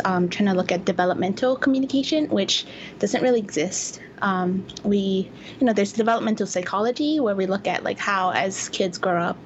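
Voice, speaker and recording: A young woman from Hawaii, a communication researcher, explaining her work in an interview with many pauses and ums. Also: mid-range voice, muffled recording, slight background noise. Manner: hesitant, many ums, thoughtful. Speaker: guest researcher. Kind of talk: academic interview.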